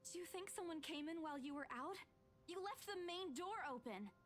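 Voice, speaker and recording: An actress playing a dazed but stubborn young real estate agent in a visual novel game. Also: female voice, very high voice, lively intonation, moderate pace, a little hiss or hum. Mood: worried.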